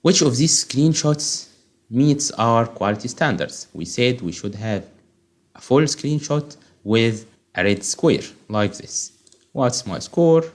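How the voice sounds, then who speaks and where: A man's voice: mid-range voice, lively intonation, slow. Man tutoring step by step, Arabic first language. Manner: explains step by step, often checks with "okay?". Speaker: software testing tutor. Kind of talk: screen-recorded tutorial walkthrough.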